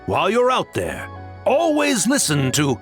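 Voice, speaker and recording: Proud elder tanuki spirit, wildly animated pitch, creaky texture, fussy, theatrical, grumbling, game dialogue. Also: male voice, unhurried, faint music behind, full character voice. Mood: fussy, stern. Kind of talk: animated video game voice acting.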